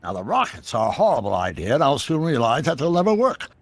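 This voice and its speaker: An actor playing an eccentric older American scientist in a video game, explaining things in a wordy, theatrical way with a gravelly voice. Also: male voice, medium pitch, huge pitch swings, fast. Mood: animated explaining. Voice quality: gravelly, husky. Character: eccentric older male scientist inventor, excitable and secretive. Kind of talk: scripted video game dialogue.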